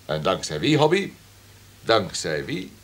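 An actor playing a domineering, calculating radio station boss in a Dutch radio drama, with a pompous, commanding manner. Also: male voice, low voice, wildly swooping pitch, measured pace, noisy background, exaggerated voice. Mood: forceful, calculating. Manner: pompous, commanding, throat-clearing.

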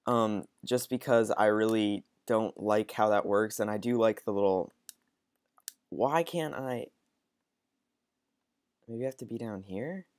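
A man's voice: lowish voice, dry recording, studio quality. A young man with a cold, sounding sniffly, chatting hesitantly with lots of ums while playing a game. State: stuffy with a cold. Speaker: gaming channel host. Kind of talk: casual let's-play commentary.

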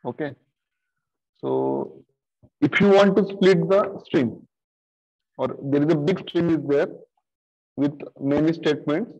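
Slow, halting male teacher. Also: on the high side, a little mellow, husky. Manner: slow, halting, many fillers and repeated "okay". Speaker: programming teacher. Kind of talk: screen-share coding lesson. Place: video call with screen share. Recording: dull lo-fi sound.

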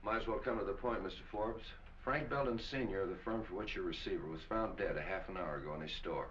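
A hard-nosed police captain in an old 1940s movie. He talks rapidly and to the point. The sound is dull, with faint hiss. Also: sits low, a bit bright. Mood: businesslike. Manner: terse, no-nonsense. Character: brisk, businesslike male police captain. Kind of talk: 1940s film acting. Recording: muffled, distant 1940s film soundtrack with hiss.

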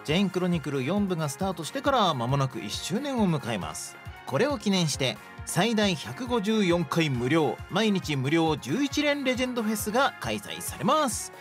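A woman's voice: fairly low pitch, big pitch swings, normal speed, few pauses. A woman reading a scripted promotional announcement as a radio show host. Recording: faint music behind the voice. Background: background music.

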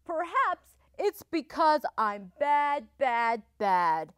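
A woman's voice: high-pitched, very animated, extremely slow, no echo, studio quality, heavily acted. A woman voicing a lonely, polite wolf in a children's story who earnestly tries to be good. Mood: lonely, pondering.

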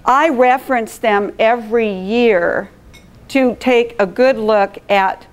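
Woman presenter, middle-aged, big voice, unhurried and clear, engages the room with questions, no mic. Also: fairly high pitch. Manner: engaging, asks the audience questions and checks in. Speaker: presenter at a professional chapter meeting. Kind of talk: live presentation to an audience, asking questions. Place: meeting room, speaking without a mic.

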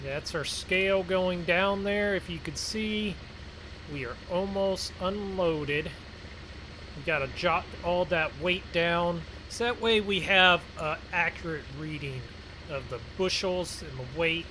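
Higher-pitched man narrating over engine noise. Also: takes it slowly, sharp tone, low-quality recording. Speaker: gaming video host. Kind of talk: casual farming game roleplay commentary. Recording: clear vehicle engine noise behind. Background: game vehicle engine sounds.

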